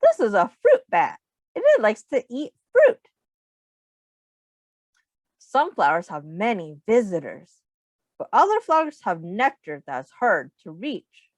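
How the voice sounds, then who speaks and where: A woman teacher reading a picture book aloud to young children, deliberate and expressive, pausing to put questions to her listeners. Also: mid-range voice, no room sound.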